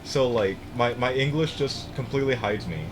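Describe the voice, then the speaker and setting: A young American man talks through a game on a live stream, rambling and swearing as he goes. He keeps restarting his sentences in a laid-back way. A steady hiss of noise sits behind his voice. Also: mid-range voice, moderate pace. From United States. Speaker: streamer playing a building game. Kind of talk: casual gaming stream chat.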